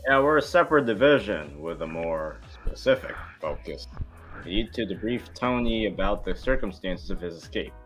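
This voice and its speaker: Deep guy stumbling thru a script read, bit of music in the background. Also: lively intonation, moderate pace, fairly bright tone, low-quality recording. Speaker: amateur voice actor at a table read. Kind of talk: casual scripted table read.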